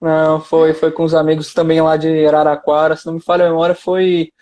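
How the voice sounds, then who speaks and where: A man's voice: on the high side, monotone, fairly quick, darkish tone. Young man with an Amazonas accent. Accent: Amazonas Portuguese. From Amazonas, northern Brazil. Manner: courteous, speaks of faith often. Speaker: guest speaker. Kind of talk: casual chat on a live video call. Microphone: video call.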